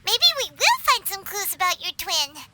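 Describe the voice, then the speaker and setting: A woman voicing a tiny, excitable female fairy companion who chatters about herself in the third person, in a game cutscene. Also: very high-pitched, moderate pace, heavy background noise. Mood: chatty. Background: game score music.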